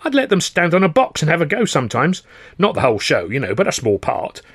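Storybook role: kindly old showman acted by a male reader, high, animated, fussy, eagerly chattering. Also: quick pace, slightly rough, dry recording, clean studio sound, exaggerated voice. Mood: delighted, proud. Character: fussy, kindly little old Punch and Judy man.

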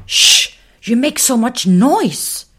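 A woman's voice: fairly high pitch, big pitch swings, unhurried, pitched above the performer's own voice. A woman voicing a small, fussy blue man creature who scolds insistently in a storybook. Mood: scolding.

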